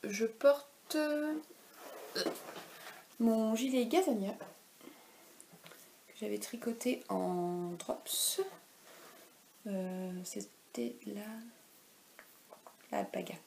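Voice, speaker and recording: A woman's voice: mid-range voice, extremely slow, vocal fry, pauses often, warm tone, slight background noise. Chatty woman with many hesitations. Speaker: knitwear designer presenting her work. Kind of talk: casual knitting podcast chat. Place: home, in daylight.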